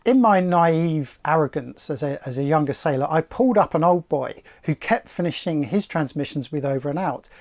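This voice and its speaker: A middle-aged man presenting to camera, explaining things in a measured, articulate, slightly formal way. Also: fairly high pitch, a little mellow. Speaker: sailing channel presenter.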